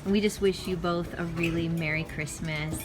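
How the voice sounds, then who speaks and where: A woman's voice: fairly low pitch, steady tone, moderate pace, loud music behind the voice, poor audio. Affirming woman interviewer. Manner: warm, affirming, repeats back what she hears. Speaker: host, interviewer. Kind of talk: friendly video interview.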